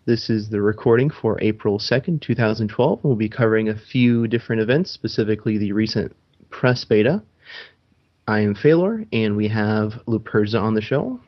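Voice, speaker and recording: A man's voice: on the low side, normal speed. A man hosting a gaming podcast in casual chat, talking loosely with frequent ums and restarts. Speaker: podcast host. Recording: dull lo-fi sound.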